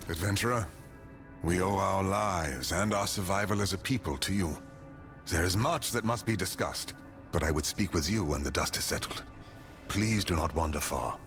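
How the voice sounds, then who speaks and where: An actor playing an earnest rebel leader in a fantasy game, speaking in a solemn, formal, oratorical way. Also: male voice, low voice, moderate pace, a bit bright. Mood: solemn, grateful. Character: earnest male rebel leader proclaiming a free city. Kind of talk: dramatic fantasy game dialogue. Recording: music behind the voice.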